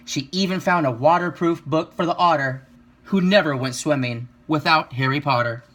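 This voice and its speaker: A man reading a children's picture book aloud, with expressive, rhythmic delivery and drawn-out emphasis. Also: fairly high pitch. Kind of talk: children's story read-aloud.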